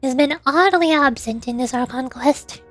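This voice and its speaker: A woman's voice: high voice, normal speed, soft background music. A young woman streamer reading game dialogue aloud and chatting playfully between lines.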